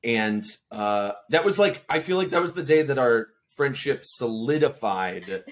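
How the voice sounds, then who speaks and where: A man's voice: medium pitch, expressive, a little slow, a little hiss or hum. A man in casual podcast chat, talking in a meandering, reflective way.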